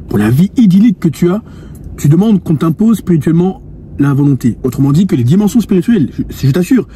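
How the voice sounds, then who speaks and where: A man's voice: on the high side, warm tone, slight background noise. A man in his twenties, a coach speaking earnestly to the viewer about spirituality and women, telling an anecdote with many restarts. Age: 20s. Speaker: dating and lifestyle coach. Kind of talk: monologue addressed to the viewer.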